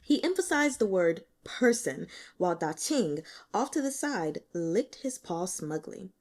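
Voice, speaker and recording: A woman reading a novel aloud as scripted audiobook narration, with expressive, dramatic storytelling. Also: mid-range voice, a little slow. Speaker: audiobook reader.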